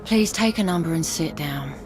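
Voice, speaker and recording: Low-voiced officious female receptionist. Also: animated. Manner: formal, brisk, officious. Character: rigid, bureaucratic female rehabilitation centre receptionist. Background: loud music.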